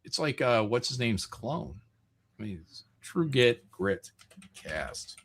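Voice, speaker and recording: A man's voice: mid-range voice, sing-song, takes it slowly, breathy edge. A man hosting a livestream, chatting casually and reading out viewer comments in an irreverent, joking, profane way. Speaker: livestream host.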